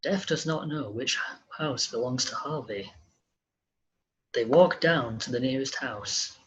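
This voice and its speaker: A man with a very rough, husky voice at a medium pitch narrates screenplay directions in a scripted style. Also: slow, poor audio. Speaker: narrator reading stage directions.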